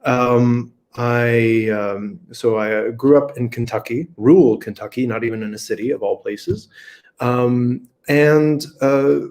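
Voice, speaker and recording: A man talking as a guest over a video call, energetic and thinking aloud with many restarts. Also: medium pitch, takes it slowly. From United States. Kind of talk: unscripted conversation.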